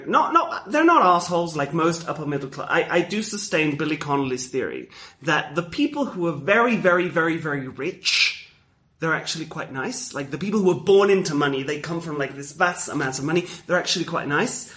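A man, co-hosting a casual chat show and telling stories with lots of acted-out voices. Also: on the high side. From Mexico. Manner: animated storyteller, acts out quoted voices. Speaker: podcast co-host.

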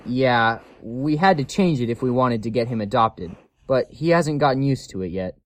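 Caring male shelter worker, mid-pitched, earnest and cautionary, dry recording, audio drama acted by a man. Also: expressive, normal speed, dry recording, lightly acted. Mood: grave, explanatory. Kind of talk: scripted audio story acting.

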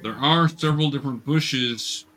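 A man working as game master, narrating a tabletop game to young players in a halting, explanatory way. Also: mid-range voice, fairly bright tone. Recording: clear background noise, clicks.

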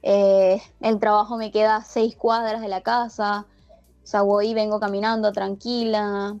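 A woman's voice: medium pitch, flat delivery, unhurried, a little mellow, lo-fi sound, faint music behind. A woman from Venezuela with a Venezuelan accent that carries a slight Argentine lilt, chatting casually as an interviewee and rambling through many restarts and fillers. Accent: Venezuelan Spanish with a slight Argentine lilt. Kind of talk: casual chat.

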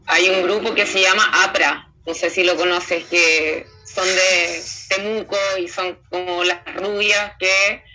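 A woman interviewer with a Chilean accent, speaking in a very bright, sharp voice. She chats informally and a little hesitantly, feeling her way through her points. The sound is dull and lo-fi. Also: on the low side, fairly even intonation, takes it slowly, hardly any pauses, soft background music. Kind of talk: casual conversational interview.

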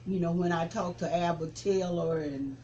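A man in his seventies, a reverend, recalling the past in an interview with a preaching cadence that rises to forceful emphasis. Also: fairly high pitch, steady tone, normal speed, lo-fi sound, slight background noise. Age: 70s. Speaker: reverend, civil rights veteran. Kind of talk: interview reminiscence.

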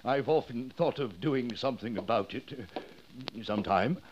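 A man's voice: mid-range voice, unhurried, muffled recording, slight background noise, lightly acted. An actor playing a genial, slightly flustered clergyman in a 1950s radio drama.

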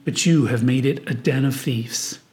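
A man's voice: mid-range voice, moderate pace, darkish tone. Man, mid-range voice, priest, reflective, prayerful, guided meditation delivery. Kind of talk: guided meditation, spoken prayer and scripture reading.

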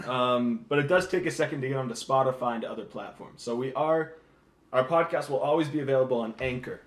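A young man chats loosely as a podcast co-host, wandering through explanations and filling gaps with "um" and "like." He sits at a middle pitch, and the room around him rings with echo. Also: a little fast. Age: young adult. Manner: rambling, loose explanations with many fillers. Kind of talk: casual podcast chat.

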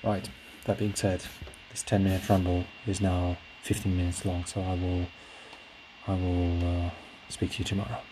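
A man's voice: sits low, moderate pace. A man whose native language is Portuguese, speaking in a casual solo podcast ramble, hesitant and reflective as he searches for words. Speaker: podcast host; classical singer. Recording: clear steady background noise.

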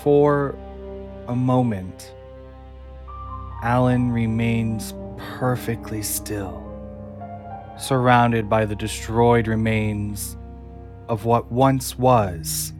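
A man reading scripted narration for an audio drama in a measured, dramatic way. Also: medium pitch, steady tone, over music.

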